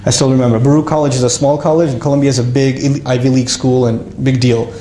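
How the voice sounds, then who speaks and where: A man casually telling his life story to an audience, lively and self-mocking, with frequent restarts. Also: mid-range voice, fast, reverberant, slight background noise.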